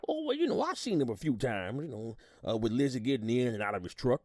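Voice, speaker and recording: A man's voice: mid-range voice, big pitch swings, fast talker, a little mellow, dry recording. A man playing an older retired army sergeant on the witness stand in an audio drama, folksy and gossipy, rambling and repeating himself. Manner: folksy, rambling, repeats words, hums agreement. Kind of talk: audio drama acting, courtroom testimony.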